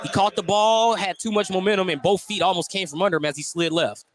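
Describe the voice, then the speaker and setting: An American man doing live radio play-by-play of basketball, with clipped running descriptions and drawn-out calls on baskets. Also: sits high, steady tone, crowd noise. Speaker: play-by-play commentator. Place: courtside in a basketball gym. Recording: some noise, gym ambience.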